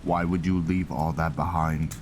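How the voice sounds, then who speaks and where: A man's voice: a bass voice, level tone, unhurried. A man giving casual video game playthrough commentary, musing and thinking aloud as he roleplays. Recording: clear game noise behind voice.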